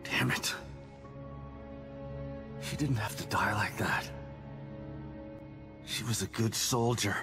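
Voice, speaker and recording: An actor playing a weary, guilt-ridden male hero in a video game, gruff, terse and brooding. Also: on the low side, animated, takes it slowly, long pauses. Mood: remorseful. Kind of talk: dramatic video game acting. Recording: music behind the voice.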